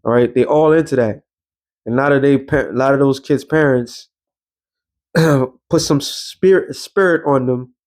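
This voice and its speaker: A man's voice: medium pitch, unhurried, darkish tone. A man preaching in a blunt, slangy street style, swearing freely, reading scripture and breaking it down with rhetorical questions. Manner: rhetorical questions and repeated check-ins like a teacher. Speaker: preacher and show host. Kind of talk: informal street sermon with scripture reading and commentary.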